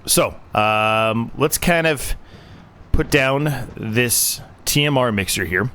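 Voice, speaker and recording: A man's voice: medium pitch, slow pace, a bit bright, a little hiss or hum. A man losing his voice, giving casual, rambling commentary on a farming game with lots of fillers and restarts. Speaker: game streamer.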